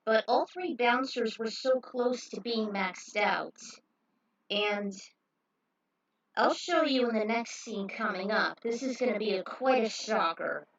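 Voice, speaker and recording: A woman's voice: fairly high pitch, a little slow, muffled recording. A woman narrating a fan story and commenting over game scenes in a dramatic storytelling style.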